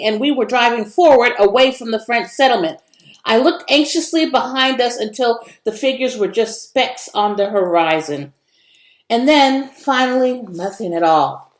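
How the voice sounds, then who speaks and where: A woman narrating a children's story expressively, in a roomy, echoing recording. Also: fairly high pitch. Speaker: read-aloud narrator.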